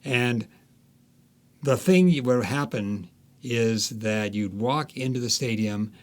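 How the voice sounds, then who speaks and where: A man's voice: mid-range voice, slow. Man, seventies, American accent, mid-range voice, emphatic storyteller, anecdotal interview style. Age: 70s. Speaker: interview guest, author. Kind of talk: reminiscing, anecdotal interview talk.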